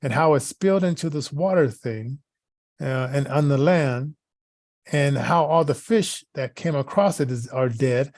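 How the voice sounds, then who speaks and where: Halting male talk show host, close dry sound. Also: medium pitch, darkish tone, dry recording. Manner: halting, repeats words and phrases. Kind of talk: casual talk show chat.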